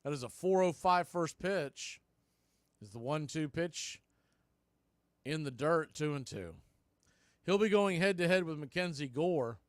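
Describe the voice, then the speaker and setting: A man with a fairly high voice announces live baseball in a dry, close recording. He gives clipped pitch calls and fills the gaps with conversational, partisan commentary. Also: animated, a little slow, pauses often, no echo. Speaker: baseball radio play-by-play announcer.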